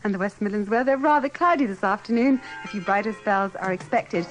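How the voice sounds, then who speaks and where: A woman's voice: medium pitch, fairly quick, faint music behind, poor audio. A woman reading scripted local news and weather on the radio. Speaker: radio newsreader.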